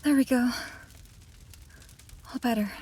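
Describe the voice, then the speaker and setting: A woman's voice: on the high side, slow pace, dark tone, a little hiss or hum. A young woman playing a frightened daughter in an audio drama, urgent and pleading. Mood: gently soothing. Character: frightened young daughter calming her distraught mother.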